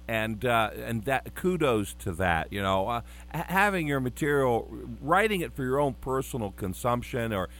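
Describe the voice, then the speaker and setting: A male radio interviewer with a voice of middle pitch. He asks questions in a measured way and offers encouraging praise. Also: fairly bright tone.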